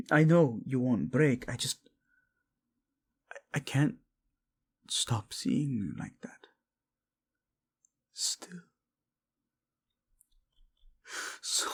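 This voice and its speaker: Man acting a slow, husky, devoted prince. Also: medium pitch, sing-song, full character voice. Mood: longing, conflicted. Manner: intense, pleading, tender, often dropping to a whisper. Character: devoted, protective, guilt-ridden prince in love. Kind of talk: romantic audio roleplay acting.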